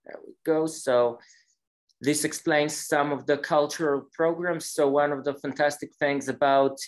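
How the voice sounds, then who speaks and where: A man giving a slide presentation on a video call, speaking in a halting, hesitant way. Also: a little high, steady tone. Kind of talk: slide presentation at an online meeting. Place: meeting room, on a video call.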